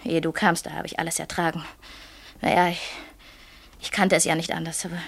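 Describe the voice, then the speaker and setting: An actress in a German radio play playing a desperate, bitter young wife trapped in a loveless marriage, intense, pleading and reproachful. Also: female voice, fairly low pitch, level tone, a little fast, gravelly, slight background noise.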